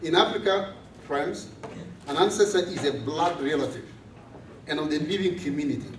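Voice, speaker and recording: A priest from South Sudan, giving a measured, earnest talk to a student gathering. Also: male voice, on the high side, background noise. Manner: slow, measured, earnest speaking. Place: large room on a college campus. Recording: echoey room from far off.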